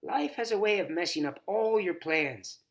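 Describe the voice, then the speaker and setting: A man's voice: high voice, expressive, normal speed, creaky, voice pushed up. A man voicing a stern, nagging old grandmother in a storybook, insistent and scolding. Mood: stern, bitter.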